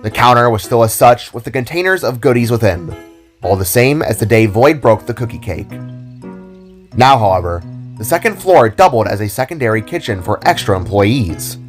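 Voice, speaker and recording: A man's voice: medium pitch, very animated, moderate pace. A man reading scripted fiction as the storyteller, narrating the scenes and characters. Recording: background music under the voice. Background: music.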